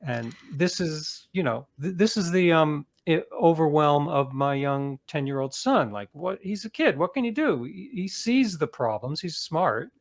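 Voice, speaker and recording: A man's voice: a little high, expressive, measured pace, vocal fry, few pauses. A man speaking casually as an author being interviewed.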